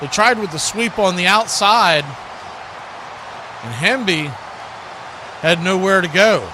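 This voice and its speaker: A man's voice: high voice, expressive, takes it slowly. A man doing live football play-by-play as an announcer, speaking in clipped phrases that build on big plays. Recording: clearly noisy live stream.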